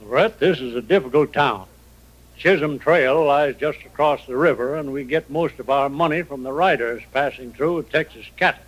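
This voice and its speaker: An actor playing an earnest, practical mayor in an old-time radio western, explaining things in a conciliatory way. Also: male voice, mid-range voice, normal speed, natural voice, poor audio. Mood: earnest, businesslike.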